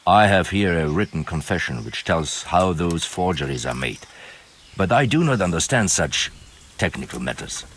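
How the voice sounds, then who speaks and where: Very deep-voiced, grim mercenary knight. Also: male voice, animated, a bit bright, slight background noise. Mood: guarded, formal. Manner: formal, measured, ominous. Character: grim, guarded male mercenary knight, world-weary and menacing. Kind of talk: dramatic video game acting. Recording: music behind the voice.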